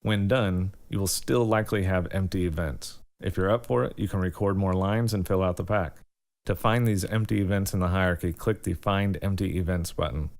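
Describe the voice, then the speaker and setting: A man presenting a step-by-step software tutorial in an informal, instructional way. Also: sits low.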